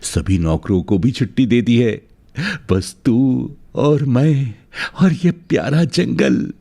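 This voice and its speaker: A man's voice: mid-range voice, slow pace, vocal fry, warm tone, voice pushed up. A man voicing a rich young bridegroom in a cartoon story, doting and possessive, speaking fervently and emphatically. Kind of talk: melodramatic cartoon story acting.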